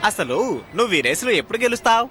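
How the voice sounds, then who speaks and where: A man's voice: very high voice, sing-song, racing, very noisy. A man playing an insistent young inventor friend who gives orders in a dubbed cartoon. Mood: scolding. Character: bossy young inventor friend, eager racing-team helper.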